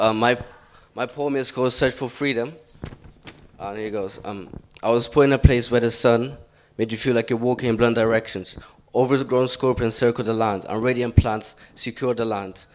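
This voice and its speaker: A teenage boy reading his own poem aloud, hesitant and stumbling at times. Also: mid-range voice. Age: teens.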